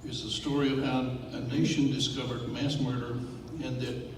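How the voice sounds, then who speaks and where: An older Texan man delivers a grave, unhurried talk to a club audience. He pauses often and leans on fillers. The recording is distant and echoey, with steady noise in the background. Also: medium pitch. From West Texas. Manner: measured, grave, with frequent uh fillers. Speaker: guest speaker. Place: meeting hall. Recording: echoey hall from far off, noisy.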